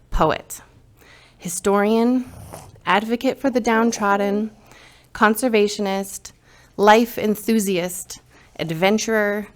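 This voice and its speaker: A woman giving a eulogy for her aunt, reading a tribute and then sharing memories, with soft laughs between phrases. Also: mid-range voice.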